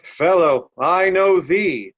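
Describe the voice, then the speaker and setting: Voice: mid-range voice, slow pace. Someone playing a blunt, furious old Shakespearean loyalist, dull recording. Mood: curt, contemptuous. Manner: scornful, emphatic, piles up insults. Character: Kent, blunt hot-tempered grey-bearded old loyalist, railing insults. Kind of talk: reader's theatre Shakespeare acting.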